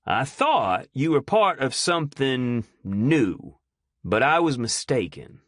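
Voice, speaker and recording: A man voicing a calm, defiant male preacher who speaks slowly and solemnly in a storybook reading. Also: mid-range voice, no echo, studio quality, pitched above the performer's own voice, exaggerated voice. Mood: pointed. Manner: slow, solemn and measured.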